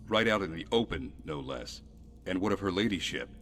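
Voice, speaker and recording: An actor playing a gruff, weary, stern city guard officer with a sardonic streak in a fantasy video game. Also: male voice, low-pitched, animated, fairly quick, a bit bright, heavily acted. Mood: stern, irritated. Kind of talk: video game character dialogue.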